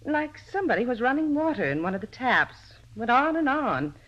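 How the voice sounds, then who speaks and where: A woman's voice: on the high side, sing-song, normal speed. An actress playing a touchy, self-important American landlady in a 1950s radio drama, talkative and defensive. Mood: explaining. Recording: muffled old radio recording.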